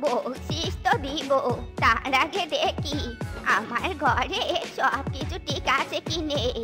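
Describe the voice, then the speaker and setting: A woman's voice: high voice, animated, slow. A woman voicing a poor, scolding old village woman in a cartoon, whining and cackling. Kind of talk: Bengali cartoon voice acting. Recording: cartoon music behind.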